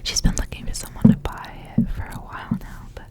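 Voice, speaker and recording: A young woman whispering in a breathy, intimate ASMR style, softly reading and chatting. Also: a little fast. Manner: soft, intimate narration with casual asides. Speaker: ASMR reader.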